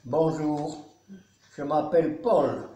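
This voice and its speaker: A man in his nineties from Belgium, a care home resident, giving a solemn, measured spoken testimony. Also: on the high side, mellow, lo-fi sound. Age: 90s. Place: nursing home. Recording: echoey room.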